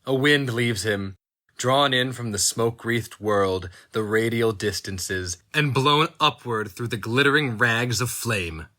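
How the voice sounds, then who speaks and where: A man giving a dramatic reading of dark fiction, slow and intense, rising into high, strained outcries at moments. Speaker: narrator.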